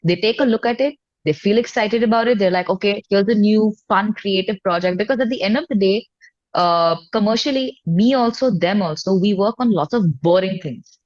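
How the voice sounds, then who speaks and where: A woman's voice: medium pitch, fairly quick, darkish tone, dry recording, dull audio. A woman with an Indian accent chatting candidly and informally as an interview guest, with emphatic phrasing. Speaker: interview guest, indie singer-songwriter. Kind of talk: casual chat.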